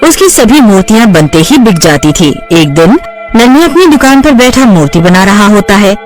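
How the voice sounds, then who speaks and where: A woman narrates a cartoon tale as a scripted storyteller. Her mid-pitched voice moves with lively intonation, with clear music underneath. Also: moderate pace.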